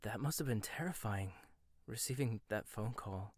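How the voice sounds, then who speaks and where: A man performing a gentle, intimate ASMR roleplay monologue, hesitant at times and teasing at others. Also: lowish voice, dry recording, studio quality.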